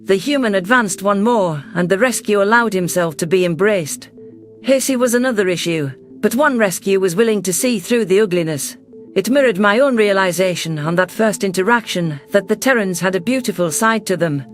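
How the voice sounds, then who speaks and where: A man narrating a science fiction story in the first person with steady storytelling delivery. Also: high voice, moderate pace, soft background music.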